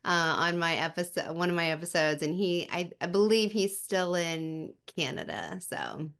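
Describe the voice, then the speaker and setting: A woman's voice: lowish voice, expressive, moderate pace, fairly bright tone. A woman hosting a podcast, asking questions in a friendly, affirming way during a casual interview. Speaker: podcast host.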